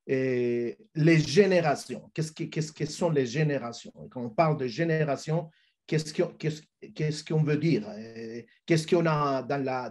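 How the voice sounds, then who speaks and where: A man with a Spanish accent, Spanish being his first language, giving a church webinar presentation over a video call, halting with many restarts. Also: fairly high pitch, darkish tone. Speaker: youth ministry speaker.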